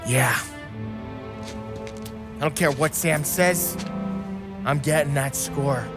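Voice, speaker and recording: A man's voice: a little high, takes it slowly, music in the background. An actor playing a weary, restless American gangster in his late thirties, with blunt, profane, slangy talk in a crime game. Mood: frustrated. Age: 30s. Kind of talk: cinematic crime game acting.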